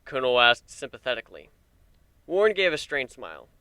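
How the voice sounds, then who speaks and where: Male narrator, dramatic fiction reading, smooth resonant tone, dry close sound, expressive measured delivery. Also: medium pitch, no echo.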